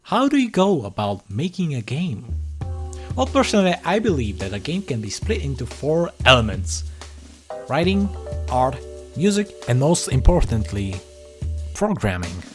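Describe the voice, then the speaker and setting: A man narrates a comedic video essay with a lively, wide-swinging delivery. He is theatrical and sarcastic, often poking fun at himself, with music playing behind his voice. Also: mid-range voice, moderate pace. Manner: sarcastic, self-deprecating, theatrical. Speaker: YouTube creator and host.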